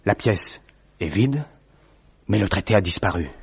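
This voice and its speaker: A man voicing a worried young male government clerk in a radio drama, recounting events in a measured, hesitant way. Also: deep voice, animated, breathy edge, exaggerated voice. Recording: dull muffled audio recording.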